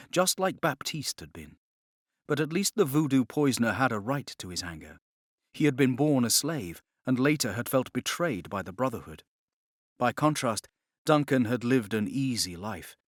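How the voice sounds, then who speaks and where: A man reading a novel as scripted dramatic narration, in a measured, expressive storytelling manner. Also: medium pitch, dry recording, clean studio sound. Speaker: audiobook narrator.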